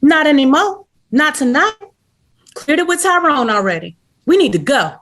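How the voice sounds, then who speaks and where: A woman's voice: sits high, lively intonation, normal speed, a bit bright. A woman playing an urgent, commanding girlfriend in a dramatic screenplay table read.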